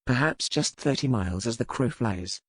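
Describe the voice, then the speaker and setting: A man playing courteous, self-assured gentlemen of Regency England in a historical romance audiobook. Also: medium pitch, animated, moderate pace, breathy edge, no room sound. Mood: courteous, breezy. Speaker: audiobook character reader.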